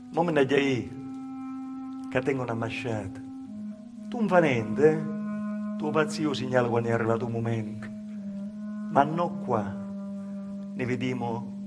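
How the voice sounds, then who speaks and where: A male stage actor with a Calabrian accent playing a simple, honest village woman in an intimate dialect monologue, confiding and halting, with repeated phrases. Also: a little high. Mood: agitated, hushed. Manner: confiding, halting, repetitive storytelling. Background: live music.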